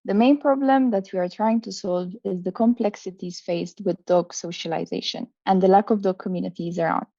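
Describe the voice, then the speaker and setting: A woman delivers a rehearsed startup pitch in a mid-pitched, mellow voice with noticeable fry. The online call audio is dull and dry. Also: steady tone, a little fast, few pauses, no room sound. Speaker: startup co-founder.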